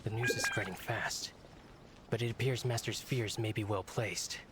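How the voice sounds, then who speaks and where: An actor playing a loyal, watchful young master's retainer in a video game. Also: male voice, lowish voice, wildly swooping pitch, moderate pace, very noisy. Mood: worried, resolute.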